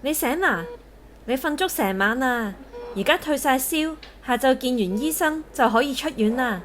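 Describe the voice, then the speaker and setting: A woman playing a kindly, reassuring young nurse in an audio drama, gently chatty. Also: sits high, animated, normal speed, soft background music. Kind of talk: Cantonese audio drama acting.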